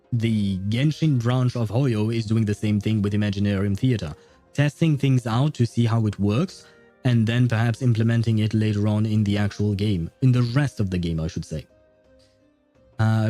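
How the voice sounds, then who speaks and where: A man's voice: medium pitch, dark tone. A man rambling casually on a game livestream, thinking aloud as he answers his viewers.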